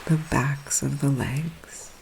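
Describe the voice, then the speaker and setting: A woman guiding a relaxation meditation in a soothing, hushed, unhurried manner, with long pauses between phrases. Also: rumbling low, vocal fry. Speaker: guided meditation coach. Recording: steady ocean surf noise behind. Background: sea waves.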